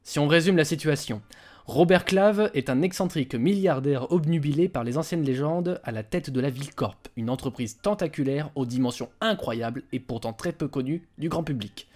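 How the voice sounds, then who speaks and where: A man's voice: on the high side, moderate pace, a little mellow, close to an everyday voice. A man playing a cautious, skeptical adventurer and researcher in a fantasy audio drama, explanatory in manner. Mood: explaining. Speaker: voice actor in an amateur audio fiction.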